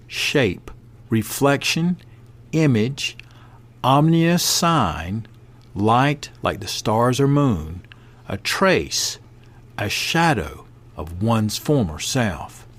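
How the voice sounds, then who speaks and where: A man hosting a podcast, reading a passage aloud and adding his own commentary in a measured way. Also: mid-range voice. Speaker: podcast host.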